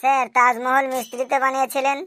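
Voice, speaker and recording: A woman voicing a cheeky schoolchild in a comic cartoon, answering in a deadpan, literal-minded, politely impudent way. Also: sits high, quick pace. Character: cheeky schoolchild, earnest-sounding classroom smart aleck. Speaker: cartoon voice actor.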